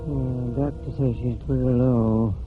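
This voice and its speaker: An actor playing a grudging, tightfisted eldest brother with a rural American accent, grumbling and folksy in a 1940s radio play. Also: male voice, medium pitch, fairly even intonation, a little slow, lightly acted. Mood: grumbling, evasive. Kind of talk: 1940s radio drama acting. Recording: tinny 1949 radio recording, music behind.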